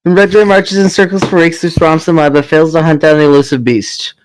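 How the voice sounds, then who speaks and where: A man's voice: a little high, fairly even intonation, fast, low-quality recording. A man playing a party game with friends, reading story narration aloud in between casual remarks. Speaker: player reading narration and his character's lines.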